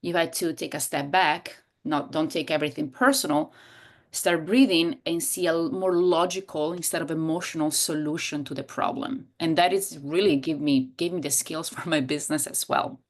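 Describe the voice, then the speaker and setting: Low-voiced Italian-accented woman. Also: moderate pace. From Italy. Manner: expressive, searches for words and restarts. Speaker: guest, coach and hypnotherapist. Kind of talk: casual interview conversation.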